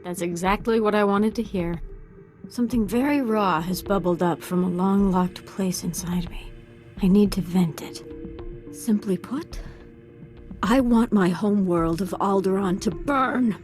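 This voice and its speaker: A woman's voice: mid-range voice, a little slow, darkish tone, soft background music, lightly acted. Intense, darkly gleeful Sith apprentice woman actress. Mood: dark relish. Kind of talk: scripted video game dialogue acting.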